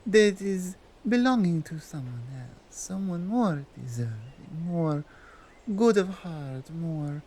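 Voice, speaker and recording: A woman playing a strong, dominant female Krampus in an ASMR roleplay, speaking in a deliberate, halting way with a Nordic accent. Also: on the low side, expressive, warm tone. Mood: sincere, admiring. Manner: slow, deliberate, halting foreign-sounding phrasing. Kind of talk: ASMR roleplay monologue addressed to the listener.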